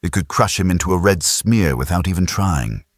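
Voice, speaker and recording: A man narrates a sci-fi audiobook in a very deep, husky voice. His delivery is dramatic, with animated pitch movement. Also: moderate pace, no echo, clean studio sound.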